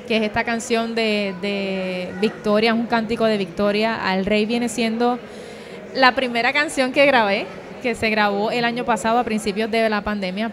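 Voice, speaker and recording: Young Puerto Rican woman, crowd behind. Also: a little high. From Puerto Rico. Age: young adult. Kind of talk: personal testimony in interview. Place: convention booth. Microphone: handheld microphone. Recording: crowd chatter at event.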